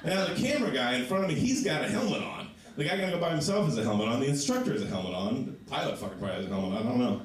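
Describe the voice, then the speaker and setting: Stand-up comic with a low voice, echoey club. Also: male voice, very fast, hardly any pauses. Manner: animated storytelling, voices for others. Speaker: stand-up comedian. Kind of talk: stand-up comedy set. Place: small comedy club. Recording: echoey club sound from a distant mic.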